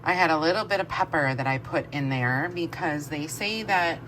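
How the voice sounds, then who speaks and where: A woman chatting casually to her camera as a home vlogger, a bit stuffy-nosed, rambling along with soft asides. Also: deep voice, normal speed, noisy background. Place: kitchen at home, indoors.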